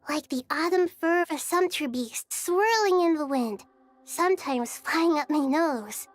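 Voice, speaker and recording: A woman voicing a gentle, thoughtful, childlike girl in a video game, serene and wise, with measured phrasing. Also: high voice, animated, faint music behind. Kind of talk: video game character acting.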